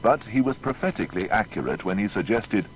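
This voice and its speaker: A man's voice: fairly low pitch, lively intonation, a little fast, a little hiss or hum, rough recording. A man narrating a scripted biography in a dramatic, rhetorical manner.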